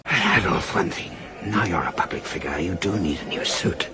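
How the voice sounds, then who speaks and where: A man's voice: deep voice, expressive, moderate pace, very noisy. An actor playing a brash, sardonic male army captain and political fixer in radio drama dialogue. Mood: gleeful, mocking. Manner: sardonic, blustering.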